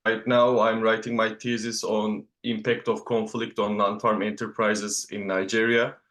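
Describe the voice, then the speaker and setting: A man's voice: lowish voice, flat delivery, moderate pace, fairly bright tone. A man introducing himself briefly as a master's student on a video call.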